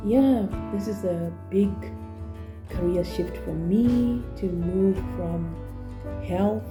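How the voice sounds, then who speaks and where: A woman in her forties with a Zimbabwean accent speaks in a slow, unhurried way, pausing often and reaching for words. Her voice sits a little low and has a gravelly edge. Plucked guitar music plays clearly underneath her. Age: 40s. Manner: thoughtful, unhurried, with many pauses and fillers. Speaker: vlogger and trainee teacher. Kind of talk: reflective personal vlog.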